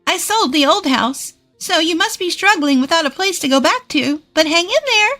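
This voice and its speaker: Casting: woman performing spiteful older mother-in-law for a narrated family drama, exaggerated, shrill-high register, music underneath. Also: lively intonation, normal speed, sharp tone, full character voice. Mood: gloating.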